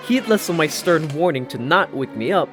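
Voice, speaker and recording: A man's voice: a little high, lively intonation, normal speed. A man reading a scripted first-person story as an audiobook narrator. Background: background music.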